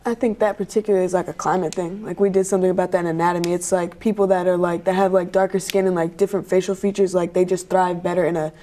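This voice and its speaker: This is a young woman student who talks quickly in a mellow, lowish voice. She explains her point casually on a panel and fills her sentences with "like.". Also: little pitch movement, slight background noise. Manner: rapid, explanatory, peppered with "like". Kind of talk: casual discussion.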